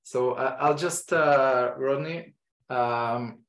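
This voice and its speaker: Israeli-accented male host, halting delivery full of fillers, roomy echo. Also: mid-range voice. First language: Hebrew. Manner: halting, many fillers and restarts. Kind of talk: online lecture introduction. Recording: echoey room.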